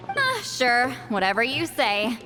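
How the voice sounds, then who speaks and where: A woman's voice: a little high, wildly swooping pitch, measured pace, rough recording. A woman voicing a proud, haughty, impatient shaman in a video game, youthful despite being called Granny. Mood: scornful. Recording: faint music behind the voice.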